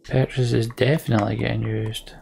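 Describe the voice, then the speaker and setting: A man's voice: mid-range voice, level tone, brisk, creaky, darkish tone, a little hiss or hum. A man giving casual let's play commentary, thinking aloud and muttering to himself in short fragments.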